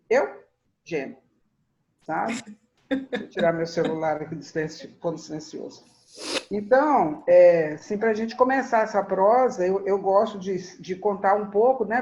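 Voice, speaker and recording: A woman in her sixties telling family stories in a relaxed conversation, laughing often and repeating words as she starts her thoughts. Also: medium pitch, dull audio. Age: 60s. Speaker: guest storyteller. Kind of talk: reflective conversational storytelling.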